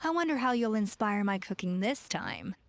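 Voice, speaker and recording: Woman playing a composed, mid-pitched chef. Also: lively intonation, moderate pace, slight background noise, lightly acted. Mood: musing. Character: composed, inspiration-seeking female chef. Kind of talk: video game voice acting.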